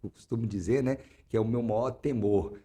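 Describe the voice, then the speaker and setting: Man, 60s, interview guest, dark husky tone, rambles with many restarts. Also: medium pitch, normal speed. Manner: rambling, frequent "né?" tags and restarts. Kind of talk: conversational interview.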